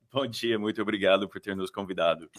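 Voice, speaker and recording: A middle-aged man from Texas with a Texan American accent and English as his first language, chatting as a podcast guest with halting speech and frequent fillers. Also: on the low side. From Texas, USA. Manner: halting, many fillers, laughs easily. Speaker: guest, fund manager. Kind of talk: casual podcast chat.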